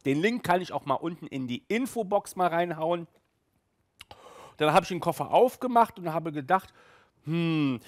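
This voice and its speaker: A man's voice: on the high side, no room sound, studio quality. A man in his thirties hosting a casual video review, talking in a chatty, colloquial way. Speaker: host of an audio drama review show. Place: small room with sofa and shelves, indoors.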